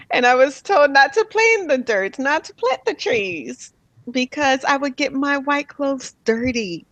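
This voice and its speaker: Woman, from Miami, unhurried, soft-spoken, dry close sound. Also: high voice, animated, no room sound. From Miami, USA. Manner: soft-spoken, thoughtful, with pauses and fillers; laughs lightly between thoughts. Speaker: interviewee, spiritual healer. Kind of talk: reflective personal interview.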